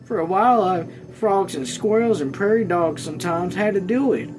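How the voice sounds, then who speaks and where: A man voicing an awkward, earnest rural hunter from a novel, halting and folksy in his wording. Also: sits high, expressive, voice pushed up, poor audio. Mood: ashamed, earnest. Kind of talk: audiobook-style character reading. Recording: music behind.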